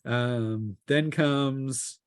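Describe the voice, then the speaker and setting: A man acting as game master, improvising narration of a tabletop game in a halting way with drawn-out pauses. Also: medium pitch, clean tone, no echo, studio quality.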